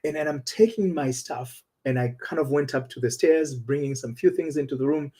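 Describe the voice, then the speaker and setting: A man's voice: fairly high pitch, a little fast, warm tone, slightly rough. A middle-aged man, a relationship coach telling a personal story in an earnest, personal way. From Tanzania. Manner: earnest and personal, with frequent restarts. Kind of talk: storytelling talk show monologue.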